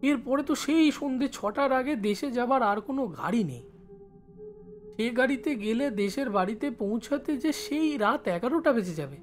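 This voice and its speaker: A man playing a village schoolboy who studies in the city, telling his story in expressive first-person narration. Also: extremely high pitch, moderate pace, faint music behind. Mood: suspenseful recounting. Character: village schoolboy studying in Kolkata, recalling his life.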